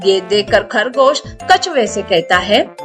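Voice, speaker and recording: A woman's voice: medium pitch, very animated, takes it slowly, soft background music. A woman narrating a scripted moral story for children.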